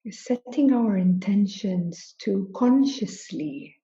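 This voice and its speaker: A woman spiritual teacher leading a guided meditation in slow, deliberate phrases separated by long pauses. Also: medium pitch, lively intonation, dark tone, highs cut off.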